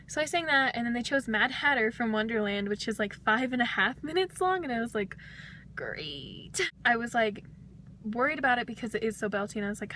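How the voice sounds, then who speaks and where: A young woman's voice, slightly hoarse and a little higher than most, spills out in a chatty, rambling vlog. She fills her thoughts with "um" and soft laughs. A faint background hum sits under her. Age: young adult. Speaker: vlogger, voice student. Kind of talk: casual vlog talk to camera.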